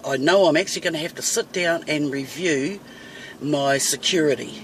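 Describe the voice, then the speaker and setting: A woman's voice: sits low, normal speed. A middle-aged woman with a New Zealand accent, bluntly ranting and swearing to her phone camera while driving. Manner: blunt, fiery, swears freely. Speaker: vlogger. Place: inside a van in traffic. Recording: distant phone mic with loud road noise.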